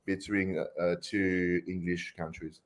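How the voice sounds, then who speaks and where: A man's voice: low-pitched, flat delivery, unhurried. A man from New Caledonia with a French accent, a strongman coach answering interview questions casually with many fillers.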